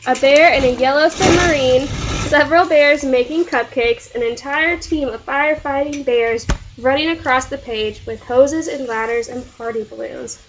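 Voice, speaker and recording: A young woman with a high-pitched voice reads aloud to a child. She prompts and corrects patiently as she goes. The recording is lo-fi and muffled, with clear background noise. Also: moderate pace. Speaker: older cousin reading aloud to a child. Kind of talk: read-aloud storytime with a child. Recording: dull lo-fi home recording with noise.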